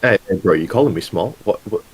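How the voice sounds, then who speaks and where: A hoarse, sick-sounding man talking as a tag team champion, trading cocky, jokey trash talk. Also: lowish voice, animated, fast, poor audio.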